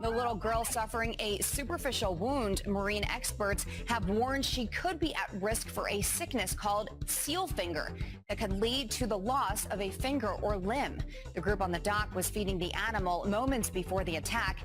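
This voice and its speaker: A woman co-hosting a morning TV show in a studio, reading news items in a brisk way. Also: medium pitch, music in the background.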